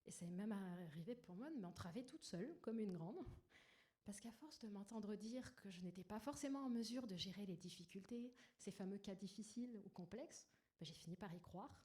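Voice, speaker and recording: A woman with a soft, gentle voice, speaking to an audience in a personal, lightly self-deprecating conference talk. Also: mid-range voice, moderate pace. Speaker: conference speaker, agile coach. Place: indoor conference room. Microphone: stage microphone. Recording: noisy live microphone in a talk room.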